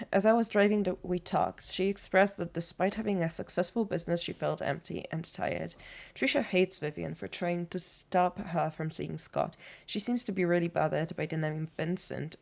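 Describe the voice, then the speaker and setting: A woman streaming a game in a mixed Polish and British accent that comes across as American. She reads case files and diary pages aloud, thinking out loud and catching small slips as she goes. Her voice sits low with a gravelly fry. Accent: mixed Polish and British, American-sounding. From Poland. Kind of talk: game stream commentary, reading in-game notes aloud.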